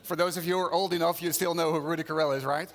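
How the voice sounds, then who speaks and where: A man with a Dutch accent gives a conference talk and keeps it conversational by putting questions to the crowd. His voice sits fairly high for a man. The sound carries a long reverb from the large hall. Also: fairly even intonation, rapid-fire. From Netherlands. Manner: conversational, engages audience with questions. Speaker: conference speaker. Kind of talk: conference keynote presentation. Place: conference venue stage.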